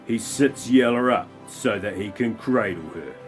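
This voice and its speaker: A man's voice: fairly low pitch, animated, unhurried, soft background music. A man narrating an amateur audio play, reading a scripted film parody with wry comic asides.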